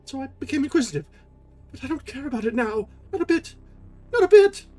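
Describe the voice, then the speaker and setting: A man voicing a frightened, pleading young woman in a high, breathless voice for a storybook reading. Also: very animated, fairly quick, husky, soft background music, full character voice.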